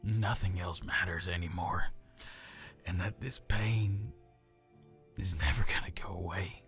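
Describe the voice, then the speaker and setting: A man voicing a weary, scarred old orc mentor with a deep, throaty, gravelly voice, a subtle Southern twang and a hushed, quiet delivery. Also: steady tone, unhurried, faint music behind. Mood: consoling.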